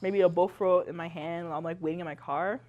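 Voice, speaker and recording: A woman's voice: lowish voice, fairly even intonation, fast, lo-fi sound. A young woman from Canada, a university student, talking as a podcast guest in chatty style and laughing often. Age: young adult. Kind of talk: casual chat.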